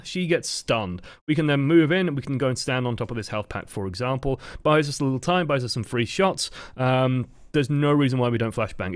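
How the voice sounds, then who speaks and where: A man's voice: mid-range voice, no echo. A man with a dry voice, giving casual, explanatory coaching commentary over gameplay footage. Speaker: game coach.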